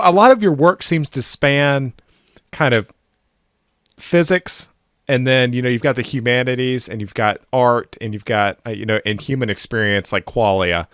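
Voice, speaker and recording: A man's voice: medium pitch, normal speed, a bit bright, no room sound. Young male podcast host, friendly loose questions, podcast mic, dry close sound. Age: young adult. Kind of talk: casual interview questions. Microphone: podcast mic on video call.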